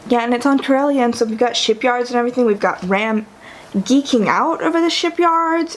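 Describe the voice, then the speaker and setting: A young woman with a slightly high voice talks through a casual book ranking for her vlog. She is chatty and enthusiastic, correcting herself mid-sentence and filling pauses with "like". A faint hum of room noise sits behind her. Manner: chatty, enthusiastic, self-correcting with many fillers. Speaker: book vlogger.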